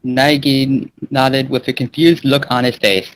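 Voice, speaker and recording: A man with a medium-pitched voice works as host and narrator of an amateur fan fiction reading. His delivery is casual, and he sometimes trips over his words. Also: flat delivery, a little slow, rough recording. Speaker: channel host and story narrator.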